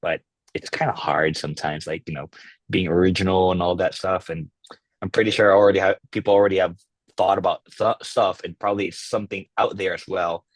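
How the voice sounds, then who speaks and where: Low-voiced relaxed man, halting. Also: dry recording. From Philippines. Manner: relaxed, halting, many fillers and restarts. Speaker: podcast guest, content creator. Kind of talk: casual interview chat.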